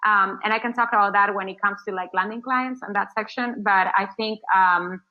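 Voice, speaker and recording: A woman's voice: medium pitch, fairly even intonation, a little fast. Woman from Argentina, non-native English speaker, loose rambling answers full of fillers, muffled lo-fi video call sound. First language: Spanish. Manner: rambling, many fillers and restarts. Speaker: marketing agency founder. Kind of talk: casual interview answers.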